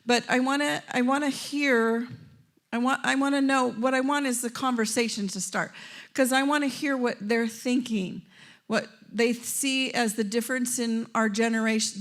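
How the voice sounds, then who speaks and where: A woman moderating a panel at a church women's event, chatty and casual, laughing often and trailing off with fillers. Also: a little high. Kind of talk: casual hosting, friendly and talkative.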